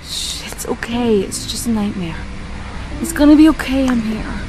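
A woman voicing a gentle, concerned friend in a dramatic comic dub, caring and soothing. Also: a little high, normal speed, noisy background, close to an everyday voice. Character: gentle, concerned female friend comforting someone. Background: music.

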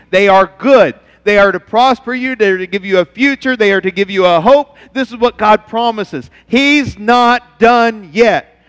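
A man's voice: high-pitched, a bit bright, smooth. A middle-aged man, preaching a sermon on a church stage and acting out God's voice in the quotes he tells. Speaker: pastor. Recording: reverberant church hall.

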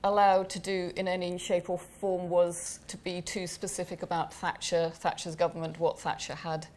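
A woman's voice: on the low side, level tone, normal speed, a little mellow. A woman film director answering questions on a panel, hesitant, with many fillers, in a large gallery room.

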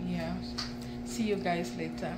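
A woman's voice: on the low side, steady tone, slow pace, background noise. A woman in her thirties, a new mom, vlogging casually at home and talking to her viewers with emotion. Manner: emotional, chatty, addresses viewers as guys. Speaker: lifestyle vlogger. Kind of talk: casual vlog talk to camera. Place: home indoors.

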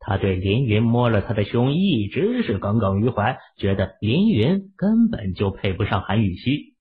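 Male narrator, low voice, animated delivery, audiobook, tinny phone-like sound. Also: normal speed. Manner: expressive storytelling.

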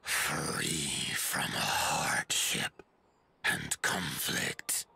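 An actor playing a huge, ancient giant chieftain in a video game, speaking gravely and formally in a rumbling voice. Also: male voice, steady tone, drawn-out words, sharp tone, heavily acted. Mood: solemn, storytelling. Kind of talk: video game cutscene acting.

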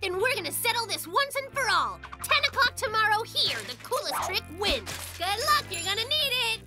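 An actor playing a boastful but caring young bear cub in an excitable, showy children's cartoon style. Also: very high-pitched, moderate pace, heavily acted. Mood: competitive. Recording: music bed behind the voice.

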